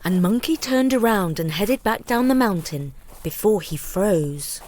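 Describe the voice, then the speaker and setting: Female bedtime storyteller: lively intonation, unhurried deliberate pace, reading for children. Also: medium pitch.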